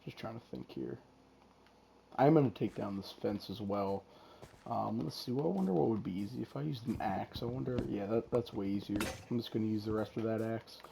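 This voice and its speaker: Hoarse, rambling male gaming host. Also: lowish voice, animated, a little fast, warm tone, a little hiss or hum. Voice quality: rough. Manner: rambling, many fillers and restarts. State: hoarse, losing his voice from illness. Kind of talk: casual gameplay commentary.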